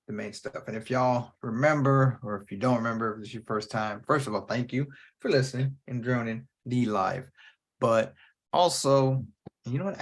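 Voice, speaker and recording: A man's voice: medium pitch, vocal fry, breathy edge. A man hosting his own movie podcast alone, talking off the cuff in a loose, rambling way and swearing freely. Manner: casual, unscripted, profane, jumps between thoughts. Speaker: podcast host. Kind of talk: rambling solo podcast talk.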